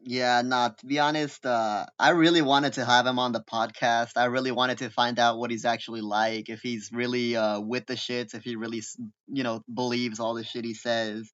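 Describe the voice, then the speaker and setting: A man hosts a podcast with a bright, forceful voice at a middle pitch. His talk is loose, slangy and full of swearing. The recording is a little dull and lo-fi. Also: little pitch movement, normal speed, few pauses. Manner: slangy, foul-mouthed. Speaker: podcast host. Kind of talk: casual chat.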